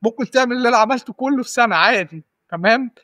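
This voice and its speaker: Fast-talking young Egyptian man, high voice. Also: pushed and bright, no room sound, studio quality. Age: 20s. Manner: rapid, repeats and restarts words, asks "right?" often. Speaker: guest data analyst. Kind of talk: casual podcast interview, giving advice.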